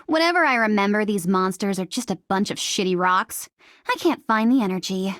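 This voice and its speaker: A woman's voice: mid-range voice, expressive, moderate pace, fairly bright tone, dry recording, lightly acted. A woman voicing a sardonic, cocky female mercenary, dry recording. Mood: weary, irritated. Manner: sarcastic, taunting, teasing delivery. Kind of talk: video game character voice lines.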